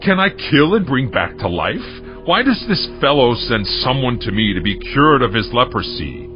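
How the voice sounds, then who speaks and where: Guy as outraged ancient king, suspicious, agitated, pitch all over the place, muffled with music. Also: very animated, moderate pace, natural voice. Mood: outraged, distraught. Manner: declamatory, agitated. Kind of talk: dramatized scripture audio drama. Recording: dull lo-fi, music underneath.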